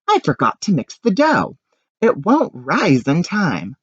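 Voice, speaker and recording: A man's voice: high voice, huge pitch swings, slow, husky, voice pushed up, exaggerated voice. A man voicing an eager, simple and foolish young handyman in a children's picture book. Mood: alarmed. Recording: dull, roomy home recording.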